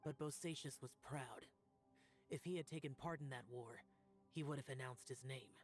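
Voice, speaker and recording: A man acts as a brooding young immortal warrior in a fantasy game. He is grave and aloof, with a restrained, mid-pitched delivery and music playing behind him. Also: moderate pace, natural voice. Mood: grave, resolute. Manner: grave, terse, guarded. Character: solemn, aloof young male immortal demon-slaying warrior burdened by karma. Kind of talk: scripted fantasy game acting. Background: background music.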